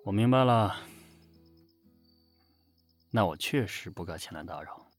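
A man's voice: sits low, lively intonation, measured pace, no room sound, faint music behind. A man in an audio drama playing a possessive, jealous lover who is insistent yet tender, in a probing, intimate manner. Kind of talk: Chinese audio drama acting.

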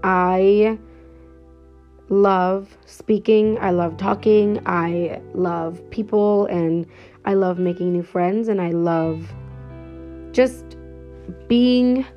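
A woman's voice: mid-range voice, fairly even intonation, drawn-out words, long pauses. A woman in her twenties talking casually and cheerfully on her own podcast, rambling with fillers. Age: 20s. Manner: chatty and upbeat, rambling with fillers. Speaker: podcast host. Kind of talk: casual solo podcast intro. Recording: slightly dull lo-fi sound with faint guitar music.

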